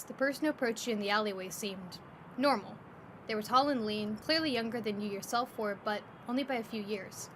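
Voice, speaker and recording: Woman story narrator, kinda high voice, expressive scripted telling, faint background ambience. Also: a little hiss or hum.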